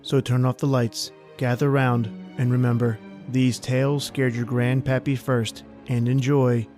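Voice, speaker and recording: A man's voice: mid-range voice, level tone, a little slow, darkish tone. Male podcast host, scripted intro over music.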